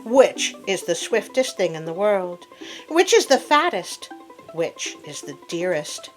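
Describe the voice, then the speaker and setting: A woman voicing a haughty, demanding nobleman in a storybook fable, with a commanding, deliberate manner. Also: fairly low pitch, sing-song, soft background music, rough recording. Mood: imperious.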